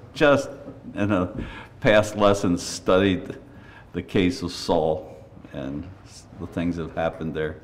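An older man preaching a sermon in church, earnest and measured, with folksy asides and a slightly raspy voice. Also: sits low, a little hiss or hum.

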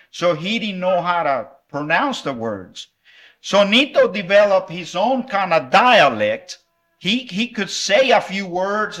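A man's voice: high voice, fairly bright tone. A middle-aged man from El Salvador preaching at a revival meeting and giving a children's lesson, friendly and conversational with fillers.

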